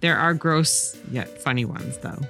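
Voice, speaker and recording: A woman's voice: low voice, a little slow, pushed and bright. A woman co-hosting a podcast in casual, conversational chat. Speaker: podcast co-host. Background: background music.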